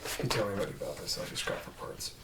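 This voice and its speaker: A man playing a guarded, gruff human police detective in a drama read-through, blunt and casual in his speech. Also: racing, recorded from a distance, lightly acted. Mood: subdued, bitter. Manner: blunt, casual, clipped swearing. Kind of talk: table read of a drama script. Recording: roomy echo, off mic, faint noise.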